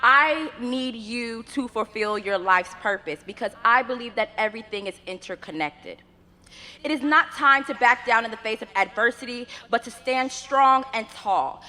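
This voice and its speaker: A young woman whose first language is Yoruba, giving an earnest commencement address at a podium microphone with measured, rhythmic phrasing. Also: a little high, expressive, pushed and bright. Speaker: graduate student speaker. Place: graduation ceremony venue. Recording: long reverb of a large ceremony space.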